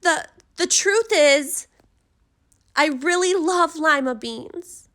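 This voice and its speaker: A woman's voice: high voice, slow pace, sharp tone, pitched above the performer's own voice, exaggerated voice. A woman voicing an anxious young girl in a children's storybook, talking in a plaintive, emphatic way. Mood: urgent confession. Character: anxious young girl, reluctant and then eager.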